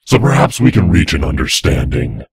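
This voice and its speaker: A man voicing a gruff, threatening supernatural skeletal bounty hunter in an amateur audio drama, with a deep gravelly voice. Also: sing-song, moderate pace, dry recording, studio quality, full character voice. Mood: gruff, taunting. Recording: music behind the voice.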